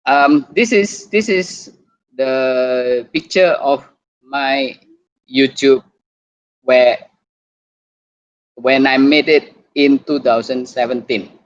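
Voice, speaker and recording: A man with an Indonesian accent giving an online talk as a doctor, halting and repeating words as he goes. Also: medium pitch. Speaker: doctor and guest speaker. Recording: muffled lo-fi video call.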